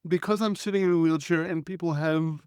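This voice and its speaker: A man whose first language is Hebrew talks in a smooth, high voice, thinking aloud and restarting his sentences. He clears his throat now and then. The sound is close and dry. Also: fairly even intonation, a little fast, darkish tone, no echo. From Israel. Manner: articulate, reflective, with frequent restarts and throat clearing. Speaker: guest. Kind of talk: conversational storytelling.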